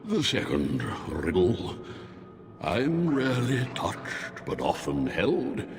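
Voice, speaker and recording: Fantasy game sloth demon: weary, condescending, slow, with wildly swooping pitch. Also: male voice, huge pitch swings, exaggerated voice. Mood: amused, teasing. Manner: languid, weary, condescending, drawn-out delivery. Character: bored, languid demon of sloth in beast form. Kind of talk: fantasy video game voice acting. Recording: long reverb, music underneath.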